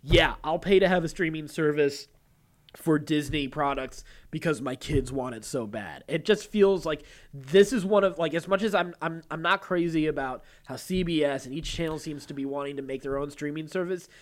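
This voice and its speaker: A man's voice: a little high, moderate pace. A man hosting a podcast, moving between reading news and casually explaining his take in a talkative way. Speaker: podcast host.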